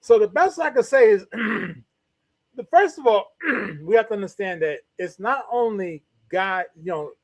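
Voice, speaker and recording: A man with a notably high voice co-hosts a talk show, speaking in a wry, measured way as he thinks his answers through. The recording is dry and close, with no room sound. He answers in a deliberate, thoughtful manner. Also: animated, no room sound. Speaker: co-host. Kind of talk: casual talk show discussion.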